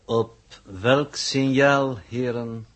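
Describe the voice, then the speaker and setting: Slow, cold, superior male impostor. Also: mid-range voice, fairly even intonation, a bit bright. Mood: smugly composed. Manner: formal, deliberate, smugly superior. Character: cold, unflappable impostor scientist, taunting his captors with knowledge. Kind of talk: 1960s Dutch radio drama acting. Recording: muffled early 1960s radio recording.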